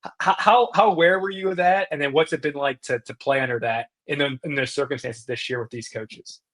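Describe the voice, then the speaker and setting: A man's voice: on the high side, steady tone, fairly quick, fairly bright tone. A man hosting a sports podcast, asking long, winding interview questions. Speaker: podcast host.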